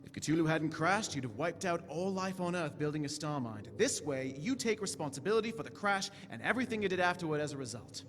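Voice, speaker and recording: A man playing a high-voiced, grandiose time-traveller. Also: lively intonation, fairly quick, hardly any pauses, a bit bright, slight background noise. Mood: firm persuasion. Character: eccentric, commanding, kindly male time-travelling alien adventurer. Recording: echoey stage hall with music.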